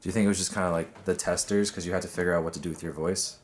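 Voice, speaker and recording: A man interviewing an artist in a relaxed way, his questions full of restarts and trailing words. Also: deep voice, rapid-fire. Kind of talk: casual interview. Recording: roomy with faint background noise.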